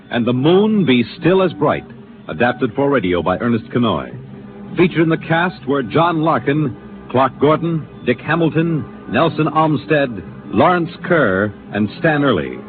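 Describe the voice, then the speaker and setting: A male announcer reading the closing credits of a radio program in a crisp, formal manner. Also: mid-range voice, expressive, measured pace, close to an everyday voice. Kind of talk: formal 1950s broadcast announcing. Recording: tinny 1950s radio transcription with music.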